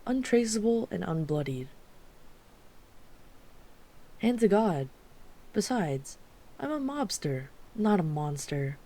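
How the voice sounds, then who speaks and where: A woman's voice: on the low side, very animated, unhurried, mellow, exaggerated voice. A woman playing a wry, chatty, flirtatious mafia boss with an apologetic streak in an ASMR roleplay. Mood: apologetic, reassuring. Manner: wry, exasperated, chatty, teasing. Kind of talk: ASMR roleplay audio drama.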